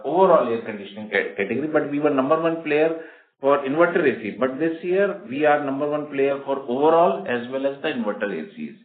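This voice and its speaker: Man, Indian accent, company executive, mid-pitched voice, formal emphatic delivery, echoey narrowband conference call. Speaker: company executive answering questions. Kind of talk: earnings call answers.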